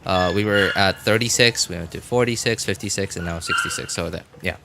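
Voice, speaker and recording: Guy with a lowish voice streaming a game, rambles casually and trails around for words, pretty noisy background w clicking. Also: vocal fry. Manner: rambling, halting word searches, self-deprecating. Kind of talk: casual livestream chat while gaming.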